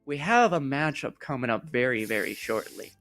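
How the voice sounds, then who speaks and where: A man's voice: mid-range voice, very animated, normal speed, fairly bright tone, faint music behind. A man hosting an esports broadcast in casual, rambling live desk chat, jokey, self-deprecating and enthusiastic. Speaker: esports broadcast host and caster.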